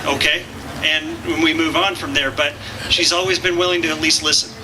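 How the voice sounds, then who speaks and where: A man's voice: fairly high pitch, steady tone, fairly quick. A man speaking for a firefighters' group at a political rally, in a folksy, jokey manner. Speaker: firefighter association representative. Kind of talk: public speech at a political rally. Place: outdoor campaign rally. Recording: outdoor rally with clear background noise.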